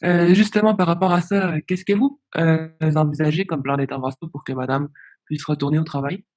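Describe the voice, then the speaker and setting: A man asking questions on a professional call, polite and halting as a case agent. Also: a little high, fairly even intonation, darkish tone. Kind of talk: professional phone conversation, questioning.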